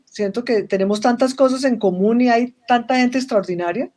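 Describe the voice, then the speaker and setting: A Colombian woman with a fairly high voice talks reflectively as a guest in an online conversation. She often circles back, restarts her phrases, and checks in with the listener through little tag questions. Her voice comes through a video call. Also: moderate pace, darkish tone, a little hiss or hum. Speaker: webinar guest. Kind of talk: casual interview conversation.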